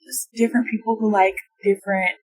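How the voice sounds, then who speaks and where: A woman's voice: mid-range voice, a little fast, husky, no echo, rough recording. A woman in her twenties from Texas, a guest chatting casually in a car, hesitant with many fillers. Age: 20s. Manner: hesitant, many ums and likes, relaxed. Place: inside a car.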